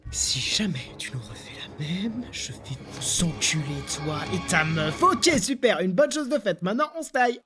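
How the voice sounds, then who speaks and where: A man's voice: high-pitched, animated, normal speed, few pauses. A man voicing a crude, irritable demon assassin boss in a cartoon dub, brash and sarcastic, with a foul mouth. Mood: bossy. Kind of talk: animated series dub acting. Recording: loud music behind the voice.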